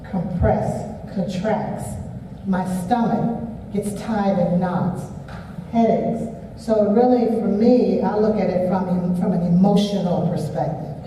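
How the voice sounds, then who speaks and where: Reflective woman participant, warm low timbre, far-off hall sound. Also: a little hiss or hum. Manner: slow, reflective, personal. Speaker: workshop participant.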